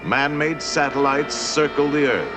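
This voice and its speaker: A man narrating a 1950s educational film in a warm, playful storytelling manner that slips into rhyme. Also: on the high side, unhurried, over loud music.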